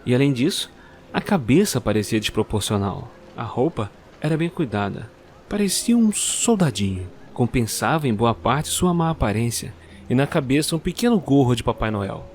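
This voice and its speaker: A man narrating a dark Christmas tale in a measured, suspenseful manner that speaks directly to the listener. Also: mid-range voice, very animated, slight background noise. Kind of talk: scripted storytelling narration.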